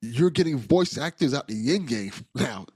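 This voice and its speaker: A man's voice: mid-range voice, expressive, normal speed, darkish tone, slightly rough, no room sound. A man hosting a podcast, talking in a casual, rambling, enthusiastic way with slang. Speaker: podcast host. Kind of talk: casual interview chat.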